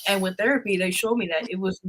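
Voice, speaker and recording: A woman's voice: medium pitch, fairly even intonation, fast talker, slight background noise, poor audio. A woman hosting a podcast in a conversational interview style, often affirming what is said. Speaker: podcast host.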